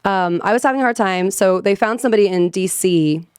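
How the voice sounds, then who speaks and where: A woman's voice: medium pitch, a little fast, clean tone, no echo, clean studio sound. A woman in her thirties, a professional singer, telling stories animatedly with many fillers and frequent laughter in a podcast interview. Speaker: guest, professional vocalist. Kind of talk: casual storytelling in a podcast interview.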